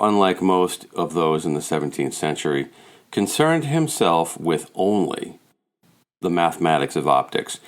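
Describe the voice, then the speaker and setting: A man reading scholarly nonfiction aloud as a scripted audiobook narration. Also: low voice. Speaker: audiobook reader.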